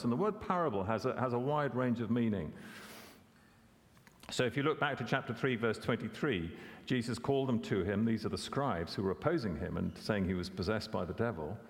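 A man's voice: fairly low pitch, in a large hall. A man preaching a sermon, conversational and questioning, often repeating words as he corrects himself. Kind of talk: expository sermon.

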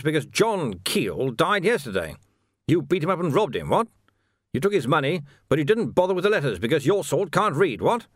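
A man's voice: a little high, fairly bright tone, dry recording, clean studio sound, pitched above the performer's own voice. A man voicing a pompous, skinny police captain in an audiobook, blustering and self-satisfied. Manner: blustering, punctuates remarks with "what?".

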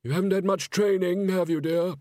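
A man's voice: high voice, steady tone, fairly quick, a little mellow, no echo, studio quality, voice pushed up, close to an everyday voice. A man voicing a patronizing opera auditioner. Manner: drawling, patronizing. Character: condescending unseen opera auditioner, male.

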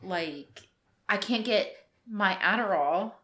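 A woman co-hosting a podcast in casual chat, talkative and quick to laugh, with casual swearing. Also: mid-range voice, expressive, a bit bright. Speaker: podcast co-host.

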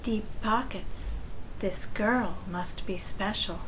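A woman's voice: medium pitch, slow, noisy background, natural voice. An actress playing a curt, commanding female bootlegger boss in a 1930s noir audio drama. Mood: guarded. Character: tough, menacing female underworld bootlegger boss with grudge.

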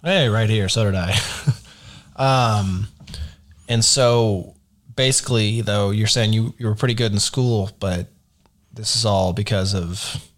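A low-pitched man hosts a podcast from a studio, chatting casually with his guest. He is friendly and affirming and laughs easily between questions. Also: measured pace. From born in Dallas. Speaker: podcast host. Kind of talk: casual interview.

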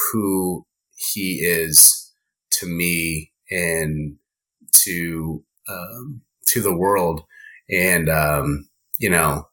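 A man guest on a podcast, talking in a halting way with many fillers and restarts. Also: sits low, sharp tone, poor audio. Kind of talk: casual chat.

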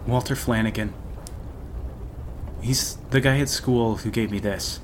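A man playing a frightened, resentful high school boy in a radio drama. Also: a little slow, slight background noise, close to an everyday voice. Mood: timid.

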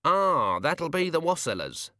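A man's voice: a little high, sing-song, measured pace, bright, forceful tone, no room sound, clean studio sound, voice pushed up, exaggerated voice. A man voicing a flustered, nervous older male wizard scholar who stammers and hesitates.

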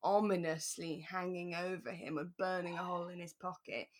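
A woman's voice: lowish voice, little pitch movement, normal speed. A woman co-hosting a podcast, chatting casually and playfully about a radio drama. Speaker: podcast co-host.

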